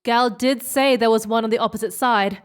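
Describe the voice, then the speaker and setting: A woman's voice: a little high, steady tone, moderate pace, clean tone, close to an everyday voice. A woman playing an adamant, passionate crew member in a sci-fi audio drama, emphatic and insistent.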